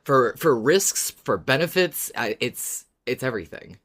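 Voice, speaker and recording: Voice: mid-range voice, expressive, measured pace, fairly bright tone. A podcast co-host who talks informally about a favourite show, in both recap and fan discussion. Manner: informal, sweary, often laughing. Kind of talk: scripted recap, then casual fan discussion.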